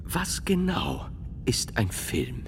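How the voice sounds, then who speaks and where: An actor playing a solemn, inquisitive medieval theologian in a German radio drama, speaking deliberately and earnestly, at times nearly whispering. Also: male voice, mid-range voice, wildly swooping pitch, a little hiss or hum. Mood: curious, hushed. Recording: prominent music behind the voice.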